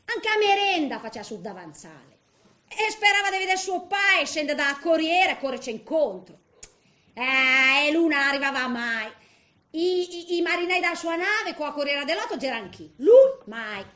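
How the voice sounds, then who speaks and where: A woman's voice: high-pitched, sing-song, moderate pace, bright, forceful tone, full character voice. An actress playing a feisty, bitter, garrulous widow in her eighties in a theatrical comic monologue, grumbling colloquially in with little interjections. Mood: tender, sad. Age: 80s.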